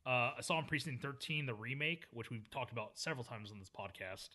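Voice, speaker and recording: Casting note: man, podcast co-host, very bright tone, eager casual chat. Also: mid-range voice, animated, quick pace. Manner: enthusiastic, lists cast credits.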